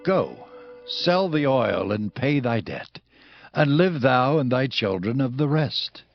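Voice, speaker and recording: A man's voice: mid-range voice, sing-song, slow, creaky, no room sound, lo-fi sound, soft background music, full character voice. An actor playing a solemn, commanding male prophet in a dramatized scripture recording, speaking in formal, declamatory phrases. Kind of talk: dramatized scripture audio acting.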